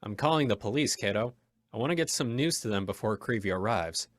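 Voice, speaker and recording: A man acting a calm, decisive hero giving instructions in an old-time radio crime drama. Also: lowish voice, a little fast, natural voice. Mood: composed, purposeful.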